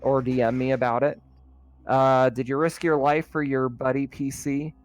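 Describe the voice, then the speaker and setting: A man's voice: medium pitch, flat delivery, moderate pace, faint music behind. A man chatting casually as game master of a tabletop roleplaying session.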